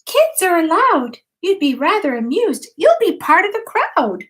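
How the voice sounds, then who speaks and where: Woman playing a little female field mouse, high-pitched, squeaky, clear, polite, welcoming, storybook character. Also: very animated, a little slow, fairly bright tone, heavily acted.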